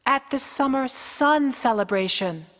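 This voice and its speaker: A woman's voice: high voice, lively intonation, measured pace, a bit bright. A woman playing a grave, caring pony princess and elder sister in a fantasy radio drama, speaking in a formal, stately way. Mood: stern. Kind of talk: fan-made fantasy radio drama acting. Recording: long reverberant hall.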